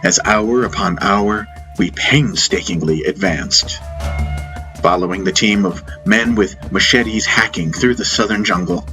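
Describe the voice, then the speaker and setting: A man's voice: on the low side, measured pace, low-quality recording. A man reading a scripted first-person story as an audiobook narrator, with music behind him. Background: background music.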